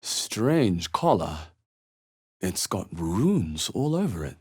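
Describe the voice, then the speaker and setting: A man voicing a wary, observant fantasy adventurer hero in a video game, with a husky voice and a wry, measured delivery. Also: sits low, big pitch swings, a little mellow, full character voice. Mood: curious, musing. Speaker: video game player character. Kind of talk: video game voice lines, short reactive remarks.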